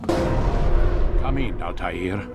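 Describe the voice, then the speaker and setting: A commanding older master of assassins speaks in a low voice. He is grave and formal, in a video game cutscene over loud music. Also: extremely slow, gravelly, full character voice. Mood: grave, authoritative.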